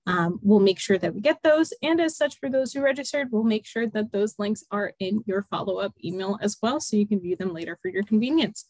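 A woman moderating an online workshop, upbeat and quick with fillers. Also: mid-range voice, darkish tone, no room sound. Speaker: webinar moderator. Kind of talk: webinar hosting.

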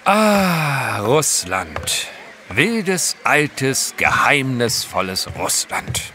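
Ein Schauspieler spielt in einem Hörspiel einen vornehmen, abenteuerlustigen britischen Gentleman, höflich und etwas wichtigtuerisch. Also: mid-range voice, very animated, drawn-out words, slight background noise, full character voice. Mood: awed, theatrical. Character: genteel, adventurous British gentleman, fond husband. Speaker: actor in an audio drama. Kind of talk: German audio drama acting.

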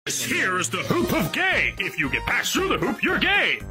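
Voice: medium pitch, huge pitch swings, moderate pace, over loud music. An actor playing a manic, foul-mouthed cartoon circus ringmaster who teases gleefully like a theatrical showman. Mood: gleeful teasing. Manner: theatrical showman, profane, exclamatory. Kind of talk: animated comedy parody performance.

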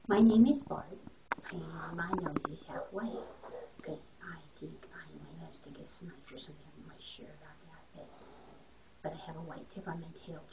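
A woman voicing a chubby, unsure male puppy, quiet and whispery, on a muffled home video. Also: sits low, recorded from a distance, background noise.

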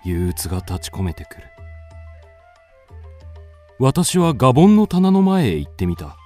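A man's voice: mid-range voice, wildly swooping pitch, a little slow, dark tone, faint music behind. An actor playing a moody, melancholic young man with restless fancies, narrating a literary radio drama in the first person. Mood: gloomy, weary, then strained, frustrated.

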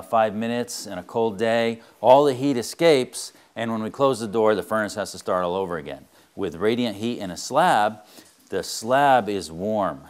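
A middle-aged man with a low, deep voice guides a listener through an explanatory on-site tour as a home energy contractor. He sounds earnest and plain-spoken, dropping frequent "uh" fillers as he goes. He is recorded indoors in a wood-walled barn on a clip-on mic.

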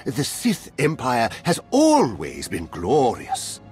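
Casting: touchy, pompous game bureaucrat, extremely animated pitch, faint music behind. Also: male voice, slow. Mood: haughty. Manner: pompous, officious. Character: pompous, touchy male imperial government minister. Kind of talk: dramatic game acting.

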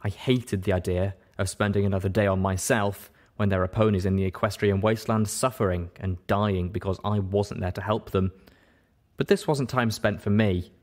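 A man narrating a story in an expressive audiobook style. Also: fairly low pitch, normal speed.

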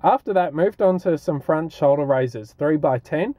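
A man's voice: on the high side, lively intonation, moderate pace, warm tone, no echo, lo-fi sound. A man in his twenties with an Australian accent, chatting casually as a fitness vlogger. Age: 20s. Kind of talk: casual vlog chat and workout commentary.

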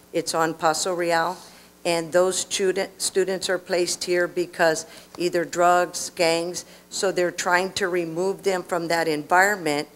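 Quiet, matter-of-fact middle-aged woman. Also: lowish voice, poor audio. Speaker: district staff member answering the board. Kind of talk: answering questions at a public meeting. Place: school board meeting room. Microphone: podium microphone.

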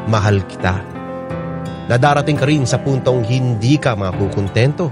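A male radio host reads a listener's letter as a sentimental story. His voice sits at a medium pitch, and guitar music plays clearly underneath.

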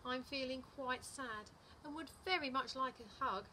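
A woman's voice: high voice, moderate pace, bright, forceful tone, a little hiss or hum, voice pushed up. A woman voicing a sad little storybook hedgehog who pleads politely and plaintively. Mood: pleading. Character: sad, prickly little hedgehog longing for a hug.

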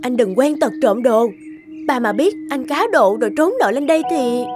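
A woman's voice: high voice, expressive, normal speed. A woman voicing a timid, anxious young housemaid in a cartoon, polite and hesitant. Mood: worried. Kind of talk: cartoon voice acting. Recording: faint music behind the voice.

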